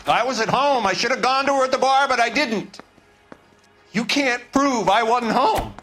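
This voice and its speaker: An American actor playing a meek, beaten-down mechanic and bitter husband in a video game crime drama, halting and defensive. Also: male voice, extremely high pitch, a bit bright, faint music behind. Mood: desperate denial.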